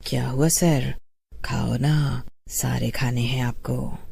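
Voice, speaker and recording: A woman voicing a menacing witch food seller with a slow, taunting, sinister way of talking. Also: rumbling low, steady tone, gravelly, mellow, slightly rough, no echo, voice pushed down, full character voice.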